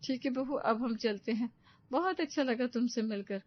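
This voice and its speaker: A woman's voice: a little high, moderate pace, no room sound, highs cut off, natural voice. A woman voicing an agreeable village neighbour in a cartoon story, eager and approving. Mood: pleased. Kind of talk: cartoon moral-story dialogue.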